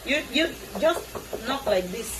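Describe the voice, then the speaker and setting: A woman's voice: sits high, expressive, a little slow, crowd noise. A middle-aged woman from Sierra Leone, a hairstylist, chatting casually and emphatically, repeating words for stress. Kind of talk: casual chat.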